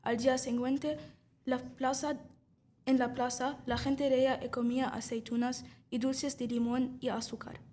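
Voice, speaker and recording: A woman's voice: sits high, little pitch movement, moderate pace, mellow, slight background noise. A woman reading a short story aloud, carefully and with small restarts.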